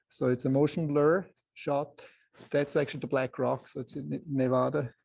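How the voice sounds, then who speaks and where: A soft-voiced man with a Swiss accent presenting an informal photography lecture to a group, in halting phrases with many pauses. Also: medium pitch, gravelly. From Switzerland.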